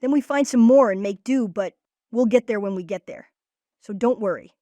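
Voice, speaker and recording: A woman's voice: a little high, moderate pace, mellow, no echo, lightly acted. A woman voicing an earnest child leader who takes charge, in a cartoon-style comic dub. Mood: reassuring. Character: earnest kid leader, Max, organizing friends. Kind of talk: comic dub character acting.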